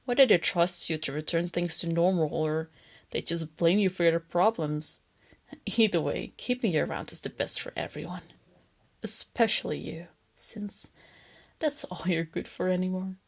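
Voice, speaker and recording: A woman voicing a female lamia captor in an ASMR roleplay, soft and breathy, teasing her captive with smug, possessive tenderness. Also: fairly low pitch, moderate pace. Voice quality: breathy, often dropping to a whisper. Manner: soft, taunting, condescending, intimate.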